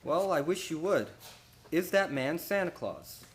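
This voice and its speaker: An actor playing a harried, indignant prosecutor in a radio play, speaking emphatically. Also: male voice, fairly high pitch, a little slow, slightly rough. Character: harried, exasperated male prosecutor. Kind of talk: stage-like radio play acting.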